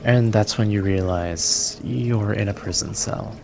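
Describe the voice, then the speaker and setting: A man narrating a tabletop fantasy game as game master, in a deliberate, descriptive storytelling manner. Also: on the low side, gravelly, over music.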